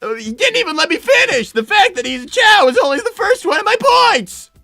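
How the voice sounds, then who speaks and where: High, whiny, flustered young fox boy. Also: big pitch swings, brisk, bright, forceful tone, no echo, clean studio sound, soft background music, exaggerated voice. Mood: indignant, whiny. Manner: stammering, indignant, protesting. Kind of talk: live improvised character roleplay, reading donor messages.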